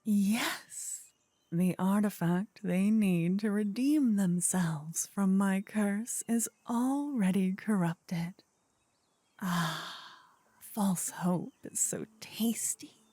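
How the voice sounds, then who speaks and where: A woman acts out a possessive, sinister demon queen for an ASMR fantasy roleplay. She savors each phrase very slowly in a dark, breathy half-whisper, seductive and imperious. The sound is close and dry. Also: mid-range voice, dry recording. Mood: gloating.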